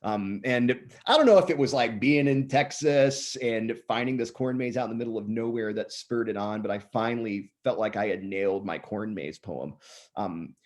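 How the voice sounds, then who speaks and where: A man in his thirties, slightly hoarse and often clearing his throat, reciting his own poems in a measured way and chatting loosely between them. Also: on the low side. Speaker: poet reading his own work. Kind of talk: poetry reading with casual asides.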